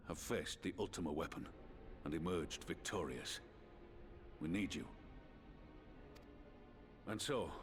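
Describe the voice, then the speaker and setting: Game role: commanding military leader, very low register, sincere and formal. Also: male voice. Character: earnest, authoritative male military leader rallying an ally. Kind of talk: video game cutscene voice acting.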